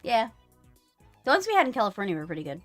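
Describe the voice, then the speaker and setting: A woman's voice: on the high side, huge pitch swings, quick pace, soft background music. A woman chatting casually as a game streamer during a playthrough.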